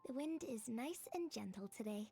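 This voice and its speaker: A woman voicing a cheerful young game character, bright and playful, heard as game audio. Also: on the high side, animated, moderate pace, vocal fry, faint music behind.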